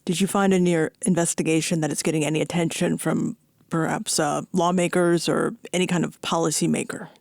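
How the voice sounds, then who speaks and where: A woman's voice: deep voice, flat delivery, brisk, vocal fry, a little mellow, no echo, clean studio sound. A woman hosting public radio, presenting scripted links and putting questions to guests. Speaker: radio host.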